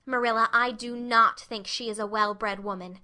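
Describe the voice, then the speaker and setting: A woman voicing an excitable, dramatic young orphan girl who talks in big words, in a storybook reading. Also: a little high, normal speed, smooth. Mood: indignant.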